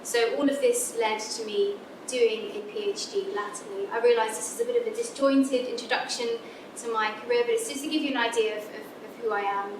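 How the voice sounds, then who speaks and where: A young adult woman with a southern English accent, an academic giving a friendly careers talk to students, with lots of ums and restarts. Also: brisk. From Scotland. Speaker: guest speaker, academic physicist. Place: lecture room. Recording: echoey lecture room from far off, background rumble.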